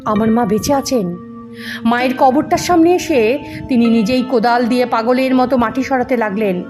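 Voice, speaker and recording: A woman reading a ghost story as dramatic scripted narration. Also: fairly high pitch. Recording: music under the voice. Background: background music.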